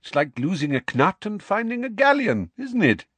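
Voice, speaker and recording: A man voicing a pompous, excitable middle-aged government minister from a storybook, blustering and spluttering with emphasis. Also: sits high, normal speed, husky, no echo, voice pushed up, full character voice. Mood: gleeful, excited.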